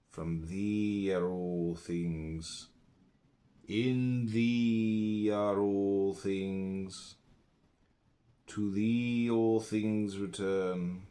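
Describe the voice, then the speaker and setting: A man with an American accent and a fairly low voice reads philosophy aloud like an audiobook narrator. His delivery is solemn and emphatic, with a declamatory turn to the phrases. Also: mellow. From United States. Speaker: audiobook reader. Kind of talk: audiobook reading of classical philosophy.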